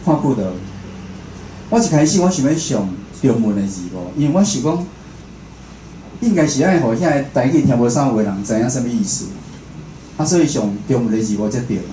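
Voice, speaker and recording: A man's voice: medium pitch, animated, fairly quick, dark tone. A man with a Taiwanese accent gives an informal talk as a radio host, conversational and often putting questions to his listeners. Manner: conversational, puts questions to the audience. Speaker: speaker, radio station director and show host. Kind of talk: informal public lecture. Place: indoor talk venue. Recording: roomy echo, faint background noise.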